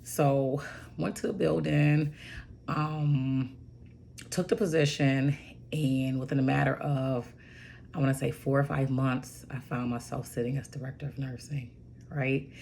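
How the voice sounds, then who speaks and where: A hoarse woman in her forties with a raspy voice, rambling through a casual story with lots of fillers and "right?" asides. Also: rumbling low, fairly even intonation, measured pace, mellow, a little hiss or hum. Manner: rambling, goes off on tangents with many fillers; emphatic, keeps asking "right?". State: hoarse from bronchitis. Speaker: registered nurse.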